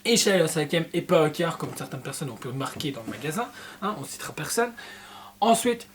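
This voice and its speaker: A man with a Belgian French accent, co-hosting a casual collectibles review, giving relaxed, measured comments with occasional fillers. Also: a little high, a little hiss or hum. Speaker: co-host reviewing collectible statues.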